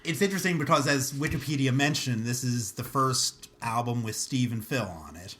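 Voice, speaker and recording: A man's voice: mid-range voice, normal speed, a bit bright. A man hosting a casual podcast chat, laughing often and steering the talk with questions. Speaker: podcast host.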